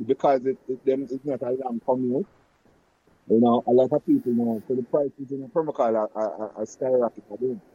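Jamaican man, 50s, warm low voice, halting patois storytelling, slightly dull recording. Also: fairly even intonation, husky. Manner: patois-laced, halting, many restarts and fillers. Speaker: guest recalling childhood. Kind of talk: casual reminiscing chat.

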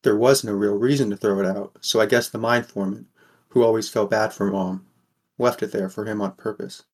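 A man's voice: fairly low pitch, fairly even intonation, brisk, natural voice. A man playing a weary, wry sixteen-year-old boy from a poor mining town, narrating a fan audio drama in the first person. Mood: brooding, matter-of-fact.

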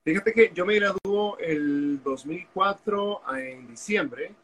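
A man's voice: high-pitched, slow, fairly bright tone, slight background noise, poor audio. A man, a guest telling his story candidly in an interview over a video call. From Honduras. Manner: candid, storytelling, frequent fillers. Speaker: guest, activist. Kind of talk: interview conversation.

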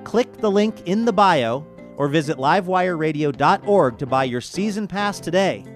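A man's voice: a little high, lively intonation, normal speed. Enthusiastic male radio host over guitar music. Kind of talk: upbeat show promo and interview talk.

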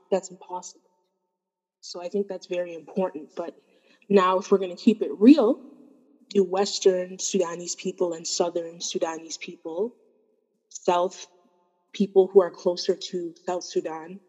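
Canadian woman talking things through, husky low-ish voice, kinda muffled hollow recording. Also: darkish tone, long reverb tail. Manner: earnest, thoughtful, with many fillers and restarts. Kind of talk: casual discussion. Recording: dull, hollow-sounding recording.

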